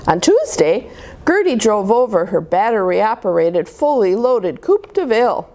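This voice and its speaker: Slow, animated woman reading a children's story. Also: medium pitch. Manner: expressive, deliberate, drawn-out delivery for young children. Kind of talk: read-aloud picture book storytelling.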